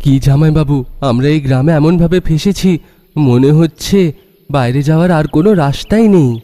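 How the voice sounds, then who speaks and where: Man as a mellow, worried young sidekick. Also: fairly high pitch, a little fast, dry recording, clean studio sound, close to an everyday voice. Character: worried young companion trapped in a haunted village. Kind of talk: animated horror cartoon acting.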